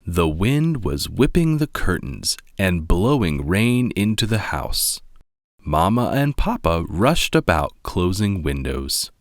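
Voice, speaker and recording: Deep-voiced man reading a children's story. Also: big pitch swings, slow, no echo, studio quality. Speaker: storybook reader. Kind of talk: read-aloud children's story narration.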